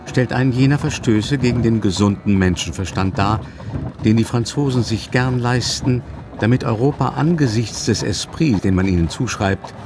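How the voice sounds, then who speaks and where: Low-voiced male narrator over music. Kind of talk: scripted literary narration in radio drama.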